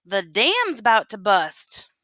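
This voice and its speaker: A woman voicing excitable townsfolk in a Western storybook, loud and folksy. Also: slow, no room sound, clean studio sound, full character voice. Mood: alarmed. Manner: loud, high-pitched, folksy. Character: excitable Old West townsfolk shouting to a stranger.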